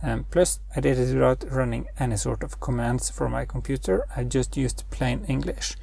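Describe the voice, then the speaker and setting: Brief: young male coding host, husky and mellow, dry close sound, thinks aloud. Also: medium pitch, normal speed, no echo. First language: Norwegian. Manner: thinks aloud while giving spoken commands. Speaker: web developer and channel host. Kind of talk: screen-recorded coding tutorial.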